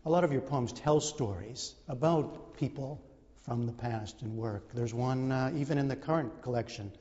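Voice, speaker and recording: A man conducts a measured broadcast interview. His voice is mellow and mid-pitched. It carries the long reverb of a gallery. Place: indoor art gallery. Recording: muffled, reverberant gallery room.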